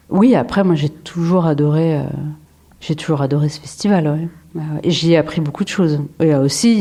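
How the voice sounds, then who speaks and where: A woman's voice: low voice, mellow. Hesitant French woman in her forties. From France. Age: 40s. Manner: hesitant, many fillers and restarts. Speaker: photographer, interview guest. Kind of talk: reflective interview answers.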